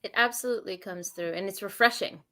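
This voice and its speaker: A woman's voice: mid-range voice, quick pace, sharp tone. A woman co-hosting a podcast, speaking with enthusiasm in a casual chat. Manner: enthusiastic, appreciative. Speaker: podcast co-host.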